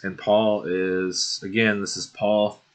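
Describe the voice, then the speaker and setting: A young man hosting a casual Bible study video, working through verses at an unhurried pace, often clearing his throat and saying um. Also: fairly low pitch, flat delivery. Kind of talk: casual screen-share Bible study walkthrough.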